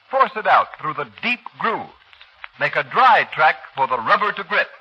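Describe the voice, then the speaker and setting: A man's voice: a little high, husky, highs cut off. A man announcing in an emphatic, declamatory 1930s radio style, reading from a script on an old broadcast recording.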